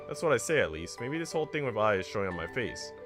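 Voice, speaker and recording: A man's voice: fairly low pitch, sing-song, fast talker, over music. A man voicing a guarded, grumpy, lovesick working woman with a defensive, hesitant manner in a visual novel. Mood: grumbling.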